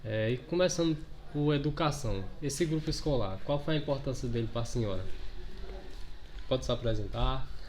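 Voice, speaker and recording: A man's voice: mid-range voice, normal speed, a little mellow. A man hosting a local interview, speaking hesitantly with frequent fillers. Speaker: interviewer, host. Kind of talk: casual interview.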